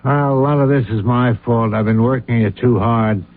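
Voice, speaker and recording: An actor playing a matter-of-fact confidant in a radio drama, speaking in a reasoning, persuasive manner. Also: male voice, mid-range voice, steady tone, normal speed, close to an everyday voice. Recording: muffled narrow-band old radio sound.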